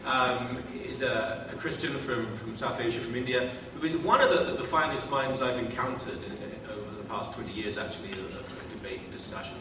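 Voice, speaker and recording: A man's voice: mid-range voice, moderate pace, few pauses. A man in his fifties hosting a panel, introducing guests with wry jokes and many fillers. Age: 50s. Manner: wry, self-deprecating, hesitant with fillers. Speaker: panel host. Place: large lecture hall. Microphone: table mic, distant camera. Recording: echoey hall from far off, noisy.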